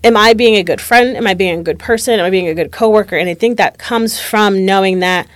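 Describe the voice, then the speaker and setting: A woman's voice: mid-range voice, brisk, dry recording. A woman in her late twenties talking candidly and reflectively in a casual conversation, peppering her speech with "like". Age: late 20s. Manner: reflective, candid, heavy use of "like".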